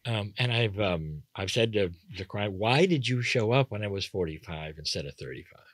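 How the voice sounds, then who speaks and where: An older man speaking as an interview guest, animated and emphatic, chuckling between thoughts. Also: lowish voice, moderate pace, dry recording. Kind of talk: casual interview talk.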